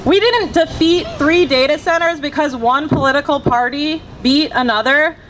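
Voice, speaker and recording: A woman organizer from Virginia delivers a passionate protest speech into a microphone outdoors. Her voice is high and driving, and she stacks her phrases into rhythmic lists. Traffic and open-air noise run steadily behind her. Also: normal speed, fairly bright tone. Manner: impassioned, rhythmic, building lists. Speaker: grassroots organizer. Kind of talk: rally speech. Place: outdoor rally. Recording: noisy outdoor rally.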